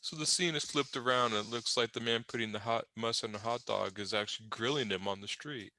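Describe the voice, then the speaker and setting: A man's voice: medium pitch, fast, bright, forceful tone. A man narrating comic panels off the cuff, with wry, rambling, conversational asides. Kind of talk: improvised humorous scene description.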